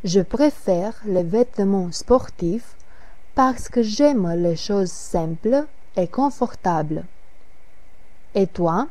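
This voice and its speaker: A woman reading the part of Anne, an adult woman who likes simple sporty clothes, in a slow, scripted lesson dialogue. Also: medium pitch, animated, mellow. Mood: plain. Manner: careful, evenly paced reading.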